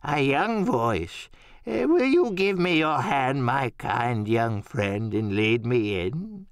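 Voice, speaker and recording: A man voicing a cruel old blind beggar in an audiobook radio play, soft-spoken and cold, with a slow, drawn-out, falsely wheedling sing-song. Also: mid-range voice, sing-song, no room sound, clean studio sound.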